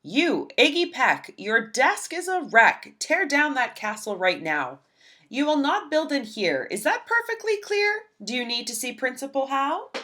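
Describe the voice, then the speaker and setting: A woman's voice: sits high, big pitch swings, a little slow, bright, forceful tone, pitched above the performer's own voice, heavily acted. A woman playing a strict, severe woman schoolteacher in a children's storybook, with emphatic, theatrical delivery. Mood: angry, scolding. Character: strict, severe, scolding woman schoolteacher, given to dramatic panic.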